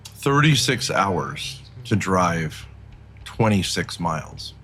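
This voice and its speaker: A man chatting casually on a livestream, with loud vehicle noise around him. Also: low voice, very animated, slow. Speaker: livestreamer on a road trip.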